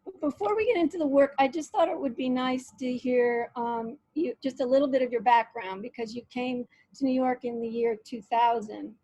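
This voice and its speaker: Woman interview host, high voice, dry close sound, halting with fillers, video call. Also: mellow, no room sound, rough recording. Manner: hesitant, reads quotes aloud, many fillers.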